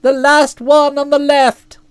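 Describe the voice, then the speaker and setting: A woman voicing a hearty, bossy middle-aged aunt who talks in a loud, breezy, emphatic way. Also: sits high, little pitch movement, takes it slowly, no room sound. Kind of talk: audiobook character voice.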